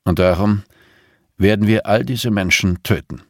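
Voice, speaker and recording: A man's voice: fairly low pitch, measured pace, no echo, clean studio sound, voice pushed up. A man voicing a grimly determined, vengeful man in an audiobook, grave and matter-of-fact. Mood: grim resolve.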